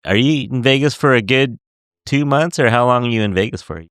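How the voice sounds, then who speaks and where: A man's voice: mid-range voice, a little fast, fairly bright tone, smooth, no room sound, clean studio sound. A man hosting a podcast interview, chatty and giggly, asking long rambling questions. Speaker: podcast host. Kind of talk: casual interview.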